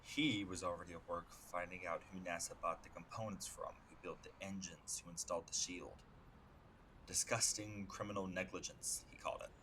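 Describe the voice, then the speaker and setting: A man voicing a solemn, grieving superhero in a comic dub, speaking in a measured, formal, grave way. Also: low voice, steady tone, noisy background, lightly acted. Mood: somber. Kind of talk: dramatic comic-book dub monologue.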